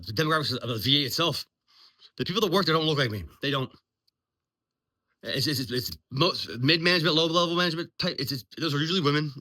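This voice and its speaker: A man's voice: mid-range voice, sharp tone. A man of almost fifty, talking off the cuff in a blunt, profane, rambling way full of ums. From Virginia, US. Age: 40s. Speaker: military veteran vlogger. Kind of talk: unscripted monologue.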